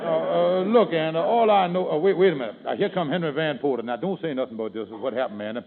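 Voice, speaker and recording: Kingfish, a fretful, stammering schemer. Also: male voice, fairly high pitch, animated, fast talker, gravelly, a crowd in the background, background noise. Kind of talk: 1940s radio comedy acting. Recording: tinny old radio broadcast recording.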